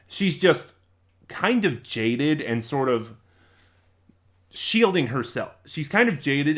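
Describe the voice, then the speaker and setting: A man's voice: medium pitch, fairly bright tone. Male reviewer, mid-range voice, casual and conversational, loosely rambling delivery with self-corrections. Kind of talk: casual spoken audio drama review.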